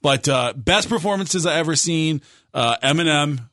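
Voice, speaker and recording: A man's voice: on the high side, normal speed, fairly bright tone, no room sound, clean studio sound. A man in his thirties hosting a radio talk show, bantering and teasing his co-hosts in casual chat. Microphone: studio microphone.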